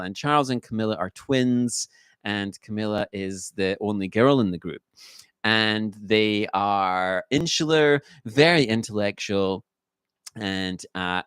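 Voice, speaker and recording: Halting low-voiced man reviewing a book. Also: clear, resonant tone, no echo. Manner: halting, many "uh" fillers and restarts. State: a little croaky from a cold. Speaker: book reviewer, channel host. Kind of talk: unscripted book review monologue.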